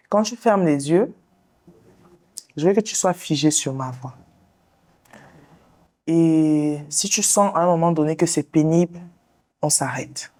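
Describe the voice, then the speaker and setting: Low-voiced woman guiding a calm exercise. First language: English. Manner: slow, soothing, directive guidance. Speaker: sex therapist and show host. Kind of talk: guided visualization and counseling talk.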